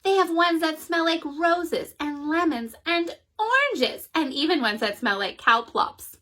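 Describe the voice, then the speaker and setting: A woman's voice: high voice, expressive, a little slow, pushed and bright, pitched above the performer's own voice, heavily acted. A woman voicing a pushy young girl who keeps nagging her mother, in a whiny, insistent way. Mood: pleading.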